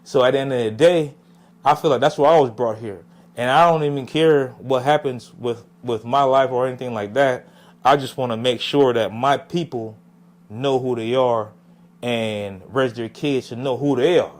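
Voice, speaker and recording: Podcast guest: young man from Jamaica, mid-pitched, rambling and reflective, with many fillers. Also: normal speed. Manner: reflective, rambling, lots of "you know what I'm saying". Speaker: podcast guest, music artist. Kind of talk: casual interview talk.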